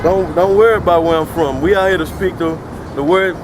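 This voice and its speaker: Male street preacher, Texan, high pitch, argumentative; outdoor street recording with heavy traffic noise. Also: steady tone, a little fast. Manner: combative, repeats and restarts a lot.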